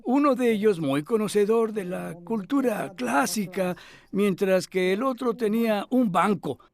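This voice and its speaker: A man dubbing an elderly male scholar who recalls his past calmly, in measured speech with hesitant pauses. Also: high voice, vocal fry, full character voice. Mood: reflective. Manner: measured, thoughtful, with hesitant fillers. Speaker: dub voice of an interviewee. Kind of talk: voice-over dub of an interview.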